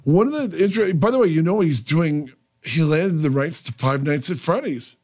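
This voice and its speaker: A man's voice: fairly high pitch, lively intonation, normal speed, darkish tone. A man hosting a radio interview, telling stories enthusiastically in a small studio on a desk mic. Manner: talkative, storytelling, enthusiastic. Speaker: radio show host. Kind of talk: casual interview chat.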